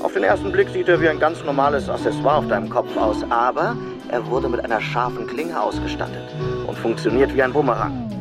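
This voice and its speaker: Male actor as matter-of-fact donor, radio play letter; mid-pitched, loud guitar music behind. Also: animated, a little fast, close to an everyday voice. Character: male donor presenting odd fighting gifts deadpan. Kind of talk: radio drama, letter-like monologue.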